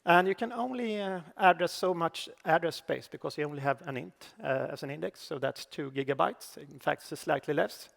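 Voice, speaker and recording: A middle-aged man with a Swedish accent presents a technical talk on a conference stage. His voice sits fairly high with a gravelly edge, and he talks haltingly, often pausing on fillers. The sound carries a long reverb from the large hall. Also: a bit bright. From Sweden. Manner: halting, with frequent "uh" fillers and restarts. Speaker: conference speaker.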